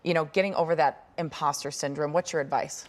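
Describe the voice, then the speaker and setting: Female news host, slightly low voice, enthusiastic studio interviewer. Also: fairly even intonation, fast talker. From Minnesota, USA. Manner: warm, enthusiastic, laughs often.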